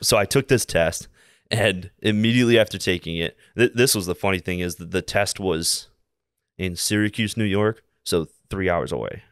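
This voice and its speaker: Young American male, 20s, podcast guest. Very low, smooth voice, rambling anecdotal delivery. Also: no room sound, clean studio sound. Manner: halting, rambling, repeats himself while telling anecdotes. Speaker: podcast guest, air traffic controller. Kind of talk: casual interview storytelling. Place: small indoor room, seated. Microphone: close podcast mic on boom arm.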